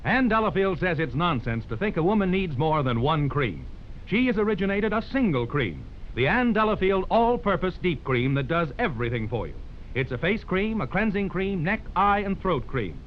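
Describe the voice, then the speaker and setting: A man's voice: high-pitched, sing-song, moderate pace, a little hiss or hum. A man announcing a 1940s-style radio commercial with polished salesmanship. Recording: muffled old radio broadcast.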